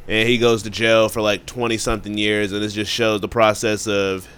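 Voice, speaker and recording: A man's voice: fairly low pitch, level tone, normal speed, fairly bright tone, smooth. A man co-hosting a movie podcast, talking in a loose, slangy, rambling way. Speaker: podcast co-host. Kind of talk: casual movie chat.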